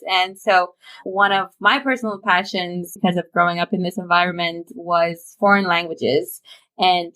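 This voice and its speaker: A woman's voice: medium pitch, sharp tone, rough recording. A young woman from Romania, a podcast guest talking eagerly and chattily over a remote call, laughing easily. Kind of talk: casual interview chat.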